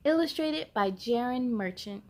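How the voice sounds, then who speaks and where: Animated high-voiced woman reading to children. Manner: animated, drawn-out, talks directly to young listeners. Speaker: children's story time host and reader. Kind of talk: expressive read-aloud for children.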